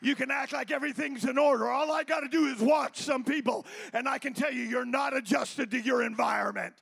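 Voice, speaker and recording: A man with a very high, gravelly voice preaches a fiery Pentecostal sermon. He shouts and exhorts the congregation in a bright, tense tone. His voice pushes hard in a church sanctuary. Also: brisk. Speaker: pastor.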